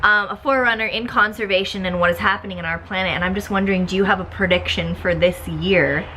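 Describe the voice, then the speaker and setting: A young woman hosts her vlog with playful, giggly energy and a bright, sharp voice. She holds a handheld mic at an outdoor festival, with a faint ambient hiss in the background. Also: mid-range voice, fairly even intonation, fast, low-quality recording. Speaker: vlog host interviewer. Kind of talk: bubbly casual interview.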